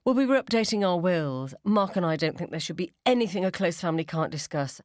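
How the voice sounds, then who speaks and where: A woman's voice: fairly low pitch, expressive, quick pace, no echo, clean studio sound, natural voice. A woman voicing a self-important, tedious mother who explains things in an earnest, patronising way in a radio story. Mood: earnest, self-satisfied. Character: self-important, tedious mother lecturing fellow mums over dinner.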